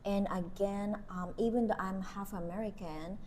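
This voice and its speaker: A woman's voice: mid-range voice, fairly even intonation, mellow, slight background noise. A young woman from Japan with a Japanese accent, co-hosting a casual chat podcast and laughing often between her words. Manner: slow, giggly, with many pauses and fillers. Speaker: podcast co-host.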